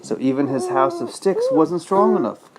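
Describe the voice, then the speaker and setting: A man's voice: on the high side, huge pitch swings, moderate pace, over a noisy crowd. A man reading a bedtime story as a father to his child, patiently explaining in simple words with check-in questions.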